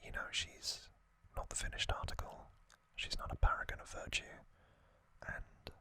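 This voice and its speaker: A man whispering as an ASMR host, breathy and unvoiced, talking off the cuff in a halting, reflective way. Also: sharp tone. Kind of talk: ASMR, unscripted whispered commentary.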